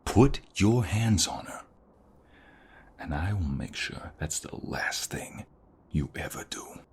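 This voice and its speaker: A man playing a protective, flirtatious ex-mobster husband in an intimate ASMR roleplay, tender but menacing, with breathy murmurs and a teasing manner. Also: expressive, slow pace, slight background noise, heavily acted. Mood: protective, threatening. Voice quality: deep, gravelly, often breathy murmur. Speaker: voice actor.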